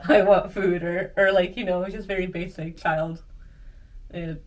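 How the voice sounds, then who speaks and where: A woman's voice: on the low side, measured pace. Young woman, distant mic, filler-heavy chat. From Finland and Peru, grew up in Mexico. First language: Spanish. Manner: relaxed, many "like" fillers, laughs easily. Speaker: guest. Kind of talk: casual chat. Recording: recorded from a distance.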